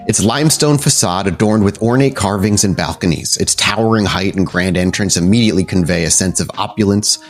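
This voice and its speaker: Low-voiced male game master. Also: fairly quick, few pauses. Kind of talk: descriptive tabletop game narration.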